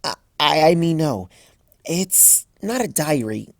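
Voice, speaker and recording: A bookish young pony mare, high-strung and easily frazzled, her voice soaring well above the reader's own and swinging wildly as she fusses, as a man puts her on. It is close and dry, with no room around it. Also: sing-song, vocal fry, dry recording, heavily acted. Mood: flustered. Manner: high-strung, rushes and fusses, flies into panic.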